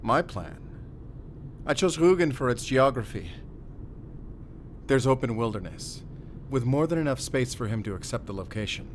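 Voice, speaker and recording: Man acting a measured, battle-worn werewolf hunter. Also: mid-range voice, sing-song, slight background noise, close to an everyday voice. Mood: grave, resolute. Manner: measured, deliberate, pausing between short phrases. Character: battle-worn male werewolf hunter, calm, wry, quietly tender. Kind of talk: cinematic audio roleplay acting.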